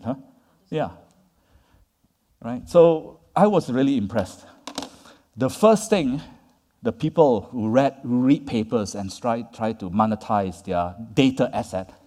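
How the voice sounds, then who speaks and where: A man's voice: mid-range voice, dark tone. A man giving a conference lecture, talking to the audience in a conversational way and tagging his points with "right?" and "yeah?". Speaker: conference speaker. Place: conference hall. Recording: long reverb of a large hall.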